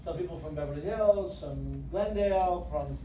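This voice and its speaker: A man's voice: on the high side, normal speed, warm tone. A man giving a casual, chatty motivational talk as a guest presenter, drawing a live audience in with questions. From born in Jordan, moved to America at five. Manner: chatty, engaging listeners with questions. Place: indoor room with live audience. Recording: echoey room, distant mic, background noise.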